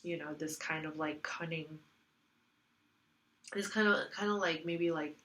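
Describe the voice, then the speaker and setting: A woman's voice: low-pitched, measured pace, fairly bright tone. A woman giving a casual tarot reading, congested from allergies, rambling with lots of fillers and repeating herself. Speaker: tarot reader.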